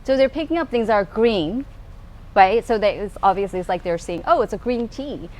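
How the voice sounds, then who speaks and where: A woman's voice: mid-range voice, expressive, fairly quick, darkish tone. A woman in a casual conversation at a tasting, explaining earnestly with many fillers. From United States. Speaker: co-founder of a taste and smell group. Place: tasting room in a tea factory. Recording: faint room noise.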